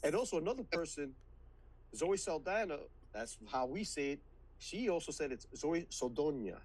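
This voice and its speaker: Podcast co-host, male, slightly high and husky, easygoing talker. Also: sing-song, normal speed, darkish tone, low-quality recording. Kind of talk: casual movie chat.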